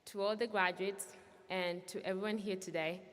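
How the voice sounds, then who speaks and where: A woman's voice: mid-range voice, steady tone, moderate pace. Young woman, native Swahili speaker, echoey hall. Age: young adult. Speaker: student speaker. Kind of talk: graduation speech.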